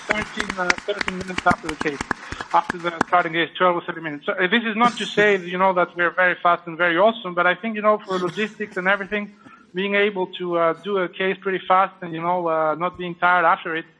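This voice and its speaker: A man with a French accent, a surgeon explaining a live procedure as he works, halting with fillers. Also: high-pitched, fairly even intonation, background noise. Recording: tinny phone-like line.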